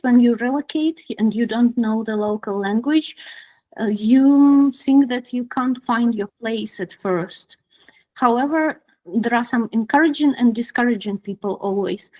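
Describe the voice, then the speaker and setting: A woman with a fairly high voice and a Ukrainian accent presents her own story to an online group. She speaks informally, with hesitant pauses as she looks for words. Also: a little mellow, lo-fi sound. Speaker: guest speaker, product designer. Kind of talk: personal career talk to an online group. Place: online video call.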